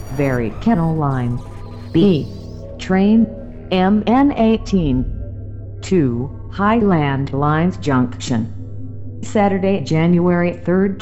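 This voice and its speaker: A woman's voice: fairly low pitch, dark tone. A woman voicing an impersonal railway announcement in an audio drama, formal and word by word, with a muffled sound. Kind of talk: automated public announcement.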